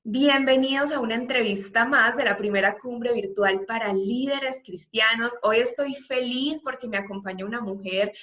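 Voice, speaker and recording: A woman hosting an interview, introducing her guest with warm enthusiasm. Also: a little high, normal speed, few pauses, muffled recording, soft background music. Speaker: interview host.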